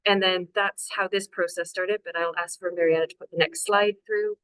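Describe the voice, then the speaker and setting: A Canadian woman in her thirties presents over a video call, her voice sitting low for a woman. She talks loosely and chattily, dropping fillers and poking fun at herself. The sound is dry and close. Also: fairly even intonation, normal speed, fairly bright tone, clean tone, no room sound, rough recording. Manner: chatty, self-deprecating, many fillers. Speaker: local authority climate officer. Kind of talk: webinar presentation.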